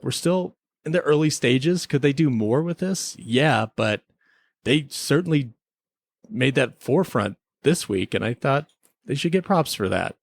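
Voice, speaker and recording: A man's voice: medium pitch, creaky, no room sound. A man talks as a podcast co-host in casual review chat. He rambles through his opinions in loose, slangy phrasing. The sound is dry, with no room around the voice.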